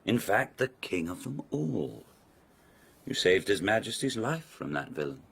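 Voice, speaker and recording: Haughty, very low-voiced palace lord. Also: male voice, very animated, creaky, husky, full character voice. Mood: mocking. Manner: mocking, formal, drawn-out insinuation. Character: haughty, sardonic palace lord of old Japan. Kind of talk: radio drama acting.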